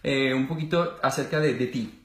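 A man's voice: mid-range voice, moderate pace. A man in his thirties from Colombia, hosting a casual interview in an office and gesturing as he talks. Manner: animated, gestures a lot, many fillers. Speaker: host. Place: small office with wall posters. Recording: roomy office echo.

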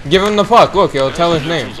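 Male gamer, casual profane chat, excitable, high-pitched. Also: fairly quick, crowd noise. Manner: rowdy, swearing, excitable. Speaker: online gamer.

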